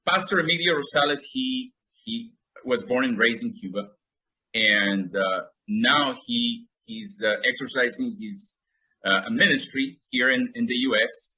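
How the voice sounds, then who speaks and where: Bright, upbeat male interview host. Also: mid-range voice, measured pace, poor audio. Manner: upbeat, with frequent fillers. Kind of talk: interview hosting.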